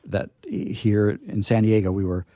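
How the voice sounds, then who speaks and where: A man's voice: lowish voice, very animated, unhurried, mellow, dry recording. A man hosting a radio show with chatty, rambling talk and a playfully theatrical manner.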